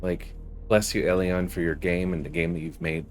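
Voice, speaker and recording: A man's voice: deep voice, flat delivery, normal speed, slight background noise. A man giving casual, explanatory commentary as the host of a gaming video. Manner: explanatory, reads quest text aloud.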